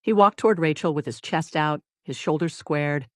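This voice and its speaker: A narrator reading a scripted dramatic story in deliberate short phrases with dramatic pauses. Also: medium pitch, no room sound, studio quality. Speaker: audiobook narrator.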